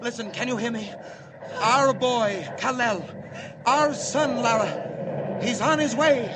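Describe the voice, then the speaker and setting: An actor playing a grave, protective scientist father, with a husky voice in earnest 1940s radio acting style. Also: extremely high pitch, slow pace, background noise. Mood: urgent. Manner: earnest, theatrical delivery.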